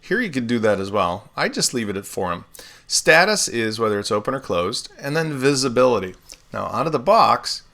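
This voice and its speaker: A man hosting a live software tutorial, explaining steps in a relaxed way with everyday comparisons while still getting over laryngitis. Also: mid-range voice, normal speed. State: recovering from laryngitis, swallowing often. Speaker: web developer tutorial host.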